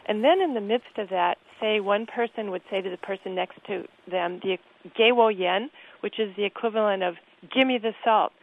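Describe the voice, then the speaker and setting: A woman being interviewed about her book, talking thoughtfully with frequent pauses and restarts. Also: mid-range voice. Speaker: guest author. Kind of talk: interview conversation. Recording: tinny phone-like line.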